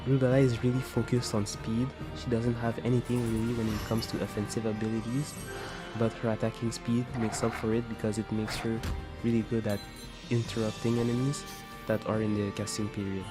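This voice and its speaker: A man's voice: on the low side, flat delivery, brisk, over music. A man giving explanatory let's play commentary as a voice-over on a video game. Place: voice-over recorded over game music.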